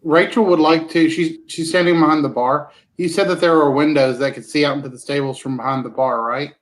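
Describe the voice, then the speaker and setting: A man's voice: a little high, fairly even intonation, a little fast, poor audio. A man playing a tabletop game, hesitantly asking about the scene and describing his character's moves. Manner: hesitant, questioning. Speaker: player.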